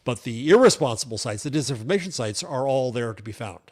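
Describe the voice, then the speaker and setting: A man's voice: medium pitch, expressive, fast, darkish tone. An older man appearing as a guest media journalist, arguing his views thoughtfully in casual chat, with frequent restarts. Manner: thoughtful, argumentative, stumbles on restarts.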